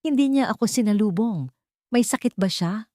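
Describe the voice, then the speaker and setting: A woman's voice: medium pitch, lively intonation, moderate pace, dark tone, no echo, studio quality, pitched above the performer's own voice, close to an everyday voice. A woman storyteller voicing an anxious, loving mother with urgent questions in a dramatic story. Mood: anxious, suspicious. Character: anxious, loving young mother searching for her child.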